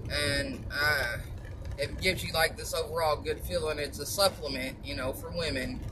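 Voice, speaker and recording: A woman with a loud, strong voice chatting in a blunt, outspoken way, halting often with fillers and long pauses as she promotes supplements. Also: sits low, fairly even intonation, noisy background. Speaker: vlogger selling supplements. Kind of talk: casual chatty monologue.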